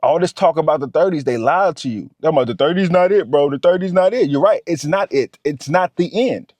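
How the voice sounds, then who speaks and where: A man's voice: on the high side, expressive, a little fast, darkish tone, no echo. A man, giving a motivational talk to camera. He talks straight to the viewer and repeats his points. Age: 30s. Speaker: vlogger.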